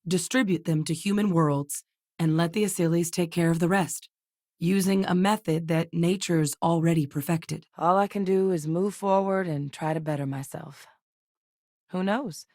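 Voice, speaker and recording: An actress playing a steady, determined female military scientist in a video game, with a serious, matter-of-fact manner. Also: on the low side, normal speed, no echo, studio quality, natural voice. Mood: measured, serious.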